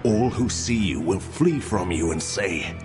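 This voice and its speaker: Very deep, slow, wrathful God. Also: male voice, expressive, music in the background. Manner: grave, deliberate, menacing. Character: God, male, solemn, wrathful, commanding divine judge. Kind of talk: dramatized scripture reading.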